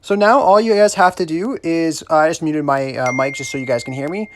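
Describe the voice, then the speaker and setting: A man's voice: on the high side, a little fast, a little hiss or hum. A man hosting a tutorial, narrating instructions in a casual style. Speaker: tutorial host.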